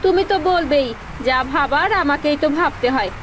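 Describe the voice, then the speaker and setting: Woman acting high-pitched, nagging village wife. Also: brisk, slight background noise, lightly acted. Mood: worried. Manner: complaining, anxious. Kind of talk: cartoon dialogue acting.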